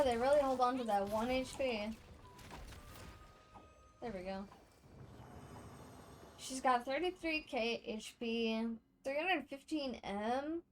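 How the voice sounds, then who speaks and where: A woman livestreamer giving casual, chatty gameplay commentary to her viewers, speaking off the cuff. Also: high voice. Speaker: game livestreamer.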